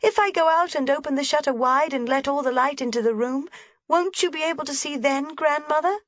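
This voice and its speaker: A woman's voice: fairly quick, no room sound, natural voice. A woman voicing an eager, anxious little mountain girl in a storybook reading. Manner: high, eager, pleading. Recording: slightly dull, dry lo-fi recording.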